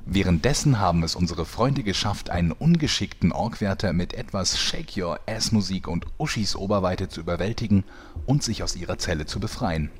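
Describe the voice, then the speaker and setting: A husky-voiced man reading scripted narration for a parody audio drama. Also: deep voice, expressive, fairly quick, slight background noise.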